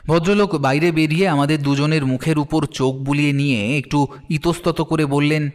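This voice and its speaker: A man's voice: a little high, fairly even intonation, moderate pace. A man narrating a detective story in the first person, in a scripted audio drama style. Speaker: narrator, telling it as the detective's companion. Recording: long reverb, faint music underneath.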